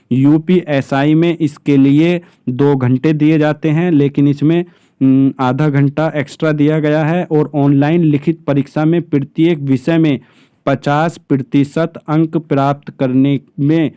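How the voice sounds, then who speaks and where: Male explainer, slightly high pitch, close dry sound, formal and measured when reading out details. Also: dark tone, smooth, no echo. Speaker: exam-prep presenter explaining job notices. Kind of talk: informational explainer, partly reading from a notice.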